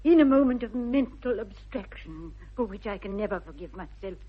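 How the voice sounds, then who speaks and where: An actress playing a prim, easily flustered middle-aged governess with an English accent, speaking haltingly and precisely in a radio comedy. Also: female voice, mid-range voice, expressive, slightly rough. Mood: ashamed, confessing. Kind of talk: 1960s British radio comedy acting. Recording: dull vintage radio recording.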